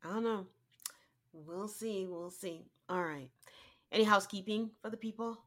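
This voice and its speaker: A woman co-hosting a podcast, nasal and congested from a cold, chatting casually with quick asides and laughs. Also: medium pitch. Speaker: podcast co-host. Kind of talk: casual chat.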